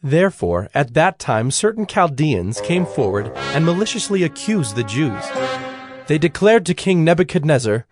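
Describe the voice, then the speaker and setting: An actor serving as a solemn storyteller, narrating ancient scripture in a measured, formal way. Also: male voice, on the high side, very animated. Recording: music under the voice.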